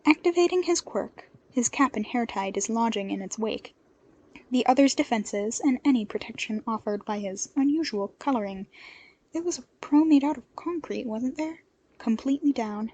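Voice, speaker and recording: A woman narrating a story in an expressive, scripted reading style. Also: fairly high pitch, a little hiss or hum. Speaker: podfic narrator. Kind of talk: expressive scripted fiction narration with character voices.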